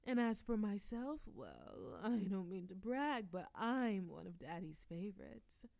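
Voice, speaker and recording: A woman's voice: medium pitch, very animated, a little slow, gravelly, a little mellow, no room sound. A woman voicing a playful, seductive immortal succubus demon princess in an audio roleplay, teasing and giggly with a sultry drawl. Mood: boastful, flirty. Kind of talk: scripted audio roleplay acting.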